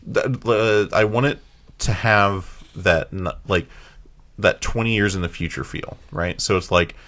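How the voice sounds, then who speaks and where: Male podcast co-host, low-pitched, informal, thinks out loud and rephrases. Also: a little slow. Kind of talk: casual chat, pitching film ideas.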